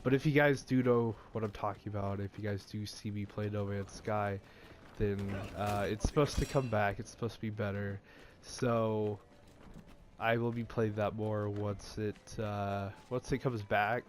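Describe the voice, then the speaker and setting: A man's voice: lowish voice, fairly even intonation, a little slow. Stuffy-nosed young man rambling. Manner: rambling, many fillers and restarts. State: stuffy nose. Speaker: gaming channel host. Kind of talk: casual gaming vlog chat.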